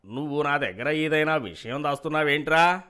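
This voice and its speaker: Guy doing an older village boss, fake-kind and sly, talking down in rustic speech, bit high for a man. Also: brisk, sharp tone, clean tone. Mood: coaxing. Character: scheming village headman, fake-kind older widower, patronizing. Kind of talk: moral story character dialogue.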